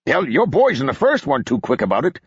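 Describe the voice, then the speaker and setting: A man's voice: a little high, huge pitch swings, moderate pace, gravelly, fairly bright tone, slightly rough, no echo, lo-fi sound, voice pushed up, heavily acted. A man voicing a burly, scruffy army captain who talks bluntly and coarsely with a heavy mountain accent, in an audiobook. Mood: gruff mocking. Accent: heavy mountain accent (fictional).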